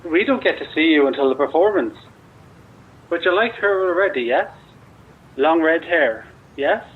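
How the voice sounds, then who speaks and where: An actor playing a cryptic, questioning gatekeeper in a horror audio drama, speaking through an intercom. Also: male voice, fairly high pitch, expressive, normal speed, slightly rough. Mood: cryptic, probing. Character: odd, probing male gatekeeper on an intercom. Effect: intercom filter. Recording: tinny gate intercom.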